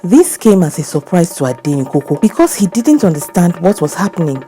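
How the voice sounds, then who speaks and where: Nigerian-accented female folktale narrator, low register, clear music bed. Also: sing-song, brisk, dark tone. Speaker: storyteller. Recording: prominent background music.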